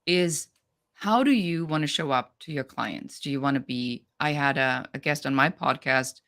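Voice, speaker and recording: A woman's voice: a bass voice, normal speed, fairly bright tone. A middle-aged woman with a German accent, speaking as a guest on a business podcast in a direct, no-nonsense way. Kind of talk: podcast interview.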